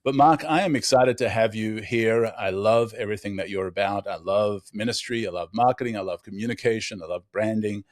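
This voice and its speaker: A man's voice: fairly low pitch, fairly quick, hardly any pauses, dry recording, clean studio sound. A man with a faint Australian accent, hosting a podcast with upbeat, enthusiastic chat. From raised in Australia. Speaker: podcast host. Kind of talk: casual interview chat.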